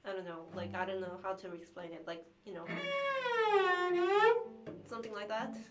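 A young woman whose first language is Korean, sick with the flu, chatting with livestream viewers in a small room, halting and restarting often with light laughs. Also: fairly low pitch, steady tone, over music. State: has the flu. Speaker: cellist streamer. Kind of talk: casual livestream chat reading viewer messages. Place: small practice room indoors.

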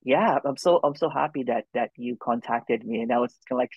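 Dude on a webcam call, chatty, lots of kinda like, dull lo-fi sound. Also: male voice, mid-range voice, fairly quick, husky, dry recording. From Philippines. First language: Filipino. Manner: chatty, many "you know" and "kinda like", jokey. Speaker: non-duality speaker and YouTuber. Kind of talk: casual video call chat. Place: room with a gray wall indoors. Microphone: webcam on a video call.

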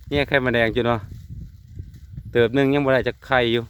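A man's voice: mid-range voice, steady tone, fast, fairly bright tone, slight background noise. Chatty man with an isan accent. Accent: Isan Thai. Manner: chatty, keeps calling out to viewers. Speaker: vlogger. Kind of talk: casual vlog commentary to viewers. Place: outdoors in rural trees.

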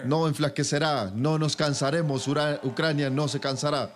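A man giving a live interpretation of a political speech, with measured, halting phrasing that trails just behind the speaker. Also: fairly high pitch, fairly even intonation, a little hiss or hum. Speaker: simultaneous interpreter.

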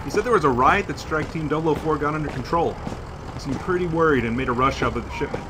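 A man plays an obliging truck driver in an audio drama. His voice sits fairly high for a man. Traffic noise is clear behind him. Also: fast, close to an everyday voice. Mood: respectful.

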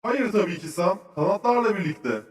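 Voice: medium pitch, very animated, normal speed, distant mic, close to an everyday voice. An actor voicing a knowledgeable, cheerful cartoon researcher who explains things patiently and articulately to children. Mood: patient explaining. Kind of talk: children's educational cartoon dubbing. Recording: roomy echo, music behind.

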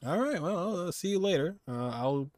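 A man's voice: high voice, animated, normal speed, darkish tone, no echo, clean studio sound. A man in his twenties giving casual live reaction commentary as he streams a game. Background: game music.

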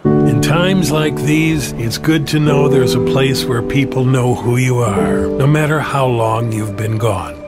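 Mid-pitched male announcer over loud music. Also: a little slow. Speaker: commercial announcer. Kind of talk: scripted advertisement narration, sentimental.

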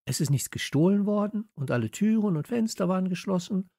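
Casting: assertive young girl, children's story, raised lilting pitch played by a man. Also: expressive, brisk, gravelly, warm tone, no echo, studio quality, full character voice. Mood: sceptical, challenging. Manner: pert, challenging. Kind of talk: children's audiobook character voice.

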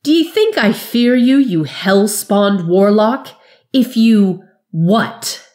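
A woman voicing a fierce, proud warrior woman who shouts her defiance. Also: on the low side, takes it slowly. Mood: defiant, scornful.

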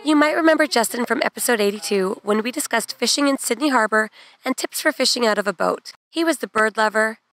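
A woman in her thirties, a podcast host with a hoarse voice from illness, introducing a video to camera in a garden. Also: on the high side, quick pace, fairly bright tone. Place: outdoors in a garden.

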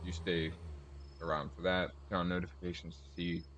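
Low-voiced man giving a casual tour. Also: level tone, normal speed, fairly bright tone, a little hiss or hum. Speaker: host of a car collection video channel. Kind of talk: casual video game car tour commentary.

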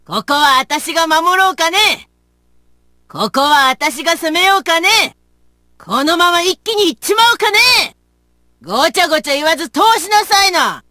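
A woman's voice: extremely high pitch, lively intonation, normal speed, pushed and bright, dry recording, studio quality, heavily acted. A woman voicing a plucky, folksy big-sisterly warrior in a video game, with tough motherly phrasing. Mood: spirited. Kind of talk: video game battle voice lines.

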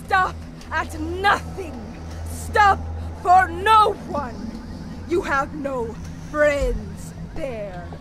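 A woman's voice: high-pitched, drawn-out words, a loud crowd, heavy background noise. An actress playing a fanatical vampire villainess in a video game, rallying her army with grandiose, commanding oratory. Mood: commanding, zealous.

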